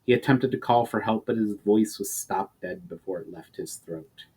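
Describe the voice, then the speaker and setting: A man reading a fantasy novel aloud as a dramatic narrator, with expressive, theatrical phrasing. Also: fairly low pitch, normal speed, slightly rough. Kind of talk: dramatic audiobook-style narration.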